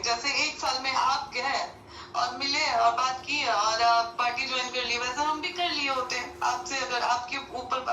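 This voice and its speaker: A high, bright female voice comes through from far off. She argues insistently and with a sense of grievance, firing rhetorical questions in an echoey, faintly noisy call recording. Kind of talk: phone call, pleading argument.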